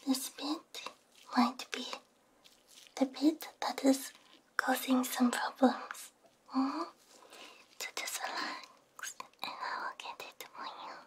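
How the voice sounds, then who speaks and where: A woman whispering a soothing ASMR roleplay, breathy and unhurried, very close to a binaural microphone. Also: sits high, fairly even intonation, low-quality recording. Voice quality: breathy, mostly unvoiced whisper. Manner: soft, soothing, unhurried with gentle murmurs. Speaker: ASMR VTuber playing a robot repairer. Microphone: close binaural ear microphone.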